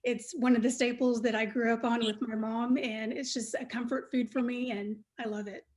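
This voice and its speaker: A middle-aged woman with an American accent and a fairly high voice speaks as a panelist. Her style is conversational, telling about herself to the group. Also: little pitch movement, moderate pace, darkish tone. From United States, Texas.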